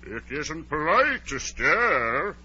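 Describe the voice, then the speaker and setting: A woman's voice: sits low, sing-song, pushed and bright, slightly rough, full character voice. A woman playing a haughty, languid caterpillar in a 1940s radio drama, drawling curtly and condescendingly. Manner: slow, drawn-out, curt and condescending. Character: haughty, languid caterpillar smoking a water pipe. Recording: dull 1940s radio recording.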